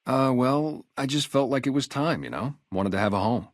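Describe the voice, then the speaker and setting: A man's voice: mid-range voice, animated, normal speed, no echo, clean studio sound, lightly acted. A man acting a video game character, a guarded, loyal ex-gang sniper on town security, talking in a casual, streetwise way. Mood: bitter, reflective. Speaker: security guard and former soldier.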